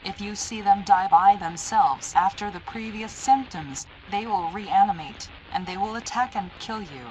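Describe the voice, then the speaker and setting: A synthetic female voice playing a stern, impersonal emergency alert announcer, reading formal, deliberate warnings in an analog horror broadcast. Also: mid-range voice, a little mellow, slight background noise, close to an everyday voice, poor audio. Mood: grave, commanding.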